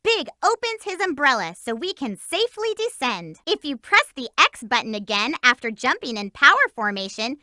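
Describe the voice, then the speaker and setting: A peppy young hedgehog heroine, bossy and bubbly in a video game. Her voice sits high and swoops up and down with lots of life. It comes across dry and close, with no room around it. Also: very animated, normal speed, few pauses, pushed and bright, dry recording, heavily acted. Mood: instructive. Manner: bubbly and bossy, chirpy exclamations. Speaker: voice actress. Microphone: studio mic.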